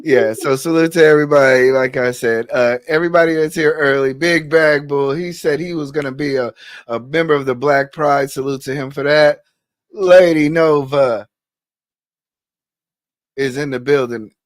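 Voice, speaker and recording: A man hosts a loose, casual podcast, his voice sitting a bit higher than most men's. He talks haltingly, often repeating words before landing a thought. The sound is dry and close. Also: fairly even intonation, no echo. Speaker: podcast host.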